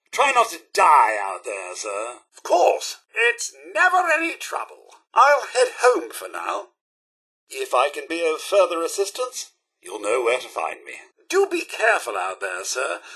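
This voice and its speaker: An actor voicing a prim, loyal robot butler for a video game, with a British accent and formal, servile, theatrical diction. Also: male voice, high voice, bright, forceful tone, husky, exaggerated voice.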